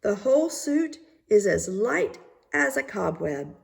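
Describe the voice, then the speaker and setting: A woman voicing a sly, flattering swindler weaver from a storybook, with a gushing, showy delivery. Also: mid-range voice, takes it slowly, creaky, voice pushed up, exaggerated voice. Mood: smooth flattery. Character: sly flattering rogue weavers presenting invisible clothes. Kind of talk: storybook character voice.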